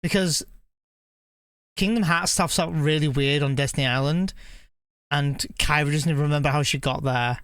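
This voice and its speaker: A man's voice: on the high side, fairly even intonation, normal speed, long pauses, a bit bright, smooth, dry recording. A man giving casual live commentary as a streamer watching game cutscenes. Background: game music.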